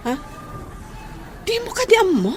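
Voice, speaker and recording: Actress for chatty young female gossip in Filipino radio drama; high-pitched, breezy, animated, slight background noise. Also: a little slow, full character voice. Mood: evasive, hurried.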